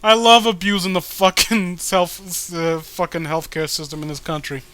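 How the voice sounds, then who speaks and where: A young man co-hosting a casual comedic read-along of a comic, excitable and swearing, often stumbling over his words. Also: sits high, moderate pace. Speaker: co-host reading a comic aloud.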